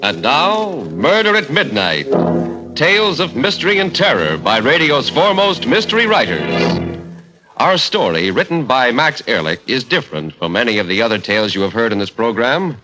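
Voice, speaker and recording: An actor playing an ominous, portentous narrator introducing a 1940s radio horror show. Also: male voice, medium pitch, big pitch swings, moderate pace, music in the background, natural voice, low-quality recording. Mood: grave, dramatic. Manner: portentous, dramatic. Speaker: announcer. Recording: vintage radio, loud musical backing.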